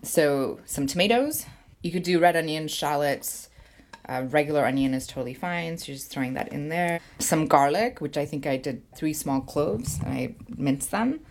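A middle-aged woman with a low voice speaks as a guest in a relaxed interview. Her talk is informal and explanatory, in the loose phrasing of casual conversation. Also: normal speed. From Canada, born in Sri Lanka. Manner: informal, explanatory, frequent 'like' hedging. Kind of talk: casual chat and cooking walkthrough.

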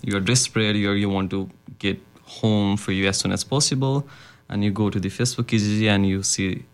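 A young student from Nepal speaks English in a fairly low voice. His answers are earnest, and he pauses a lot as he looks for words. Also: steady tone, smooth. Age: young adult. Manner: earnest, hesitant with fillers and restarts. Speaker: student guest. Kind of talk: interview answers.

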